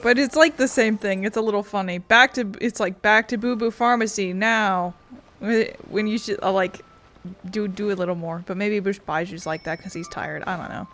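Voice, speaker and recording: A woman's voice: medium pitch, moderate pace, a bit bright, slight background noise. A woman streamer commenting casually on a game, rambling and self-deprecating.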